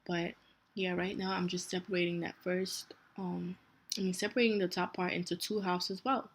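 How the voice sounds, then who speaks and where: A young woman with a Nigerian accent talks through a hair tutorial in an easy, chatty way, stopping now and then to correct herself. Her voice sits fairly low and stays level, with little rise and fall. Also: moderate pace. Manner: relaxed, self-correcting, chatty asides. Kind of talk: casual step-by-step tutorial.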